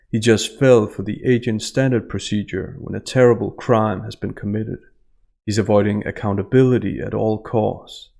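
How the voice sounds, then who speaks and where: A man giving scripted analytical narration in a measured, formal manner over instrumental music. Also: lowish voice, vocal fry, a little mellow. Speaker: host analyst of statements.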